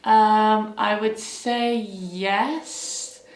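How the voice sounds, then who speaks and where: A young woman from Wales answering interview questions in a hesitant, thoughtful way, often pausing to search for words. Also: medium pitch, steady tone, reverberant.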